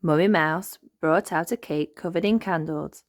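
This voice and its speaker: A woman reading a children's storybook aloud in an expressive narrating style. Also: fairly low pitch, a little slow. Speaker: storybook reader.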